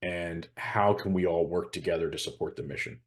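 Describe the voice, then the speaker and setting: A man's voice: low-pitched, steady tone, fairly quick. A middle-aged man co-hosting a show, explaining things in an earnest, conversational way. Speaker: show co-host.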